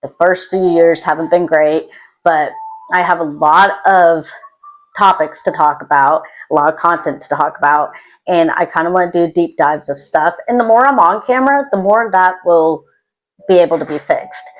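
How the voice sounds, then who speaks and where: A woman with a lowish voice chats informally to camera as the host of her own video channel. She comes across as introverted and self-deprecating, rambling from one thought to the next. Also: moderate pace, low-quality recording. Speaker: YouTube host. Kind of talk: casual chat to camera. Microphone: external microphone.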